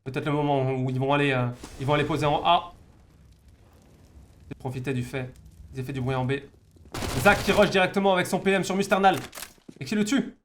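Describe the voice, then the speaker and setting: Higher-voiced male game caster, noisy stream. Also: a little fast, pauses often. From France. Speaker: esports caster. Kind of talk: live video game match commentary with casual asides. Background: game gunfire and clicks.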